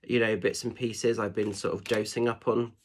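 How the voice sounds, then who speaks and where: Male medium with a fairly low, husky, nasal voice; chatty, rambling, reassuring card reading. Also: flat delivery, normal speed. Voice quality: nasal, stuffed-up. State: congested with hay fever or a cold. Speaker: spiritual medium and tarot reader. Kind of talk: casual oracle card reading.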